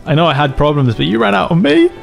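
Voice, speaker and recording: A man's voice: fairly high pitch, big pitch swings, fast, vocal fry, faint music behind. A man playing a tabletop game, joking and speaking in character. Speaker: player. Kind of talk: casual tabletop roleplay.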